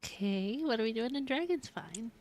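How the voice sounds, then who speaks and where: A woman's voice: a little high, expressive, normal speed, a little mellow. A woman streaming a video game with casual, giggly, self-deprecating commentary. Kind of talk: casual live gameplay commentary.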